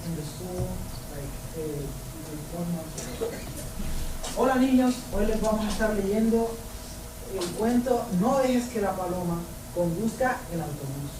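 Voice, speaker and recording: High school student from Puerto Rico. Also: expressive, normal speed, music in the background. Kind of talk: storybook read-aloud. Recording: echoey room, distant mic, background noise.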